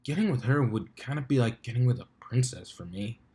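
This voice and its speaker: A man's voice: mid-range voice, expressive, fairly quick, a little mellow, close to an everyday voice. Man voicing a dry, jaded mare captain. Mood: resigned, wry. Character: droll, jaded female pony team captain.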